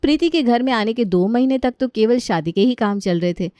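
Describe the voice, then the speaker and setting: A woman's voice: fairly high pitch, lively intonation, a little fast, mellow, clear, resonant tone, no room sound. Woman narrating a story, dry close sound. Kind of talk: scripted Hindi story narration.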